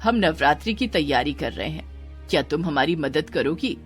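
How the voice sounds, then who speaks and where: Woman as kind household mistress, motherly, protective, direct, animated pitch, story drama, clear background music. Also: normal speed. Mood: friendly surprise.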